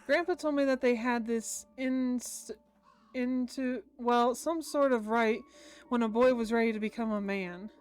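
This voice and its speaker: A woman's voice: fairly high pitch, a little slow, a little mellow, clear, resonant tone. A woman streamer reading visual novel dialogue aloud in an informal, chatty way with game music behind her. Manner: informal, breaks off to comment and talk with family.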